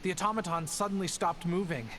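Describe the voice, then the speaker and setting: A woman's voice: fairly low pitch, fast talker, lightly acted. A woman playing a concerned, plain-spoken adventurer in a video game, with noticeable noise behind her. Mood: puzzled concern. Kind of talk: video game character acting.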